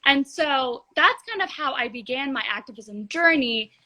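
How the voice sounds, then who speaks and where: A woman's voice: sits high, lively intonation, moderate pace, sharp tone, rough recording. A teenage girl from Egypt, a youth activist, speaking earnestly and fluently as a guest in a live video-call interview. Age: teens.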